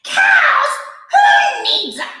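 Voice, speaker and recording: A woman's voice: wildly swooping pitch, drawn-out words, exaggerated voice. A woman putting on a screeching greedy witch. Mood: shrill, bossy. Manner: shrill, bossy, screeching and shouting insults. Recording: very echoey room.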